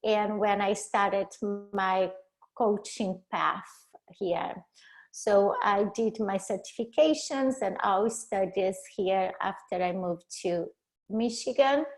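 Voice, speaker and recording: A woman from Brazil with a Brazilian Portuguese accent, a coach giving a webinar presentation over a video call. She talks haltingly and often restarts words to correct herself. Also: mid-range voice, low-quality recording.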